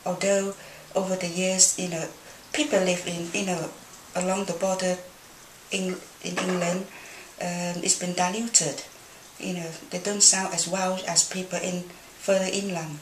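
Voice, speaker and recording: A middle-aged woman speaks in a measured, even voice, often filling her sentences with "you know." Her pitch is slightly low and stays flat. The room rings with echo. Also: slight background noise. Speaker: interviewee. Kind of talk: thoughtful casual opinion.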